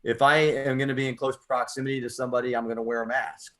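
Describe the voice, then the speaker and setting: A man's voice: medium pitch, flat delivery, fast, a bit bright. Man, American accent, mid-range voice, earnest, repeats words while thinking, reflective radio chat. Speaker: poet and radio show host. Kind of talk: casual reflective conversation.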